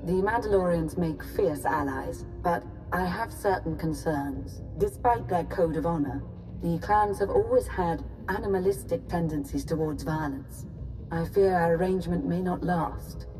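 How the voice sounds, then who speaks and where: A woman's voice: fairly low pitch, unhurried, natural voice, poor audio. A woman playing a composed, calculating intelligence advisor in a video game, formal and discreet. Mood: composed concern. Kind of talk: video game cutscene acting. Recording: music behind the voice.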